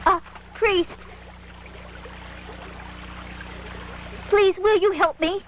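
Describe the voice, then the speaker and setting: A woman playing a cheeky, scrappy, starving street boy in a dubbed animated film, brash and defiant. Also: very high voice, unhurried, background noise.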